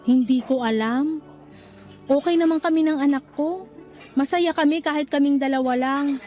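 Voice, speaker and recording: A woman's voice: sits high, normal speed, mellow, faint music behind, close to an everyday voice. A woman with a Filipino accent playing a weary, feisty young single mother in a radio drama. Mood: weary, guarded. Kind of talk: Tagalog radio drama acting.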